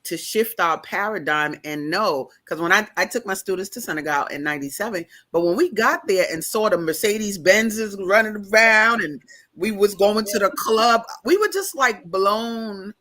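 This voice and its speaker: Bright-voiced New woman, rambling host. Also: medium pitch, normal speed. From New York. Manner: rambling, animated, talks with her hands in long run-on questions. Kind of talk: casual interview question. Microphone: video call.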